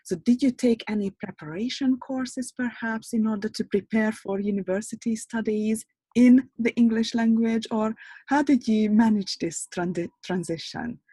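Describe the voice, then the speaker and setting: A woman's voice: fairly high pitch, normal speed, dark tone, husky, no echo. A woman hosting a podcast interview, asking encouraging questions. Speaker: podcast host.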